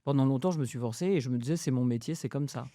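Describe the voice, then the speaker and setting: A woman's voice: rumbling low, rapid-fire, warm tone, no room sound, studio quality. A woman in her fifties, a food journalist chatting casually as a guest, hesitant with many fillers and easy laughs. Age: 50s. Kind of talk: casual conversation.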